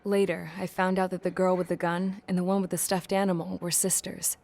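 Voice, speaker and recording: A woman's voice: fairly low pitch, little pitch movement, fairly quick, vocal fry, darkish tone. An actress playing a blunt, streetwise young woman who recounts war in a plain-spoken, wry way for a game cutscene. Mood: matter-of-fact. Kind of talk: game cutscene dialogue and narration. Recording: music behind the voice. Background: music.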